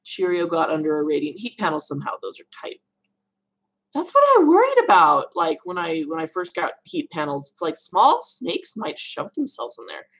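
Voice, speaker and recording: A young woman with a low voice, hosting a casual live Q&A stream from her room at home, chatty and rambling. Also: wildly swooping pitch, normal speed, a bit bright, husky, low-quality recording. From Arizona, USA. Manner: chatty, rambling, laughs often. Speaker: livestream host answering viewer questions.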